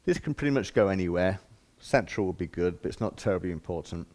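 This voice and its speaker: Low-pitched man explaining casually. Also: animated, quick pace, a little mellow. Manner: casual, plain-spoken step-by-step explaining. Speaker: shop demonstrator presenting sound equipment. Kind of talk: informal hands-on product demonstration.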